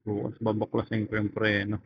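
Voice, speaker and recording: A man's voice: lowish voice, completely flat delivery, a little fast, creaky. A young Filipino man chatting casually and rambling in slang, thinking aloud as he vlogs from a motorcycle through a helmet intercom microphone. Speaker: motorcycle vlogger. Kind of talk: casual motovlog chat while riding. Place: outdoors on a motorcycle in traffic. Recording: dull intercom mic with road noise.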